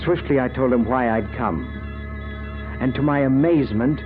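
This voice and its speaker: A man's voice: medium pitch, normal speed, phone-quality sound, music in the background, close to an everyday voice. An actor playing an obsessed, nervously intense middle-aged man, speaking earnestly and dramatically in a 1940s style radio drama. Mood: haunted, intense. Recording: thin old radio recording.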